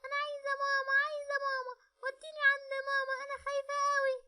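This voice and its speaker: Scared lil egyptian girl, whiny and pleading, squeaky high, rushed. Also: completely flat delivery, pushed and bright, full character voice. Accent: Egyptian Arabic. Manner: pleading, whimpering. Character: frightened little girl, tearful and whiny.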